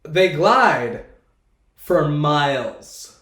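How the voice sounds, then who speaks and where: A man's voice: a little high, animated, drawn-out words, fairly bright tone. Stuffy-nosed young man in an echoey room. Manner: laid-back, rambling, laughs often. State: stuffed-up nose. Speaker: podcast co-host. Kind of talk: casual chat.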